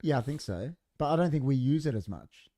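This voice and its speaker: A man's voice: mid-range voice, expressive, mellow, dry recording, studio quality. An Australian man co-hosting a casual podcast, chatting loosely and slipping into impressions of other voices. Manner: chatty, quick to mimic voices and laugh. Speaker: podcast co-host. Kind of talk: casual banter. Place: studio.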